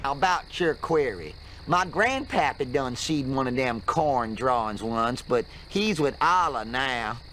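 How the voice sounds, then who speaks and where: A man voicing a suspicious, folksy hillbilly farmer with a rural Southern American accent in an animated cartoon game. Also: medium pitch, measured pace, few pauses, slight background noise. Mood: folksy, stubborn.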